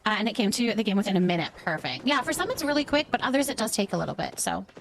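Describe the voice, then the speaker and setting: A woman's voice: mid-range voice, animated, quick pace, vocal fry, a little hiss or hum. A woman livestreaming a game, chatting casually with viewers and thinking aloud as she goes.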